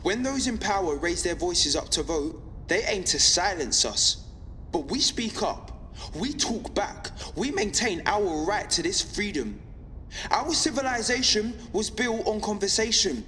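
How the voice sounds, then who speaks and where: An actor playing a defiant young pirate radio broadcaster in an audio drama, delivering a measured, impassioned monologue. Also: male voice, high voice, sing-song, sharp tone, long reverb tail, slight background noise, exaggerated voice. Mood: defiant, solemn.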